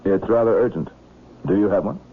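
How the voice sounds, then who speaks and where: A thin, band-limited vintage radio recording of a terse official. His voice is fairly low, guarded and matter-of-fact, in the manner of seventies radio drama. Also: a little fast, husky, phone-quality sound. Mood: businesslike. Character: businesslike, guarded male island magistrate. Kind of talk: 1970s radio drama acting.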